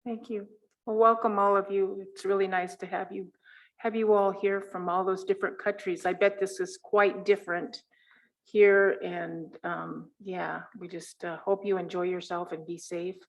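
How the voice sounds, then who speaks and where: Woman council member, welcoming remarks, friendly, unhurried, middle pitch. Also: level tone, low-quality recording. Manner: friendly, unhurried, trailing off with soft laughs. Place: council meeting room.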